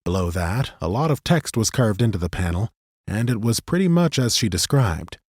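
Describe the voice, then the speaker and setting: A man narrating a fantasy audiobook in an expressive, scripted storytelling style. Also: lowish voice, normal speed, slightly rough, dry recording, studio quality. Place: dry recording studio.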